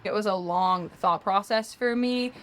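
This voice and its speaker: A woman's voice: mid-range voice, unhurried, slight background noise. A woman in her twenties from Kentucky, a university student explaining at length in a casual interview, with frequent "like" and "um". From United States, Kentucky. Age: 20s.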